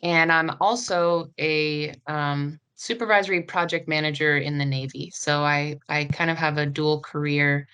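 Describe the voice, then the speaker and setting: A woman's voice: deep voice, steady tone, a little slow, few pauses, fairly bright tone, clean tone. A woman who is a doctoral student and adjunct professor, introducing herself plainly on a webinar video call.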